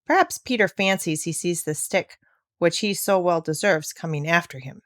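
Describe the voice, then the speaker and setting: A woman voicing a calm, plain-spoken old grandfather from the mountains, in a measured, matter-of-fact storybook reading. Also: lowish voice, no room sound, studio quality, voice pushed down, close to an everyday voice. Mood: dry.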